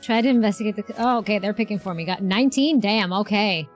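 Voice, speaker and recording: Chatty gamer girl streamer reacting live, normal pitch, faint game music. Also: fast talker. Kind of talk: casual live reaction commentary.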